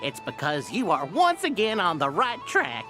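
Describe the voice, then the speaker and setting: A woman's voice: lowish voice, wildly swooping pitch, normal speed. A woman voicing an earnest, uplifting clockwork storybook character in a video game, with grandiose phrasing. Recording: music behind the voice.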